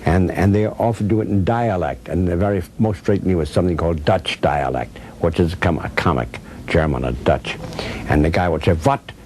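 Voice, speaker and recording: An older man speaks in a low register with noticeable noise around him. He reminisces and reflects as if in an interview, circling back to repeat his key phrases for emphasis. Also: normal speed, darkish tone. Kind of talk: reflective, conversational interview storytelling. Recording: clear background noise and ambience.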